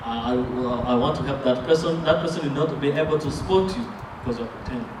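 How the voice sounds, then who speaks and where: A man interpreting a sermon line by line, halting, with fillers and restarts. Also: medium pitch, distant mic. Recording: echoey room, picked up from afar, noisy.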